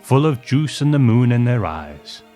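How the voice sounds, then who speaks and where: A man's voice: medium pitch, very animated, measured pace, dark tone, soft background music, voice pushed up. A man voicing a hearty, opinionated old man who rambles fondly with old-fashioned exclamations, in a storybook reading. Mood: fond, amused. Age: older. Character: hearty opinionated old man reminiscing about his beloved ship.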